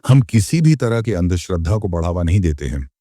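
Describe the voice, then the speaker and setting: A man reads a scripted announcement in a low voice. The tone is dark and soft, yet the intonation is lively, like a disclaimer delivered with some drama. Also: brisk, dry recording, clean studio sound.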